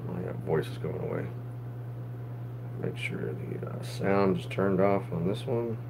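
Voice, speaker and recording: A man cooking in his home kitchen and chatting with viewers on a live stream, his voice hoarse as he gets sick. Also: low-pitched, unhurried, distant mic, background noise. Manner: chatty, greets viewers by name while cooking. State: voice going hoarse, getting sick. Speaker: home cook livestreaming. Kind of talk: casual cooking livestream. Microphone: phone camera.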